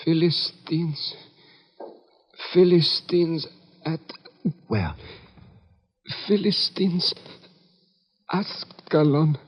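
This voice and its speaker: A man's voice: a little high, extremely slow, long pauses. An actor playing a dying, gunshot smuggler in old radio drama, weakly gasping out his last words. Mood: failing, urgent. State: weak, gasping. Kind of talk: golden age radio drama acting. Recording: muffled old radio recording, long reverb.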